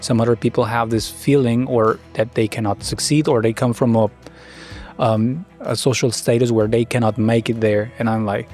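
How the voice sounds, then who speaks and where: A man's voice: medium pitch, steady tone, normal speed, a little mellow, faint music behind. Reflective male podcast host. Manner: reflective, conversational questioning. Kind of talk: interview.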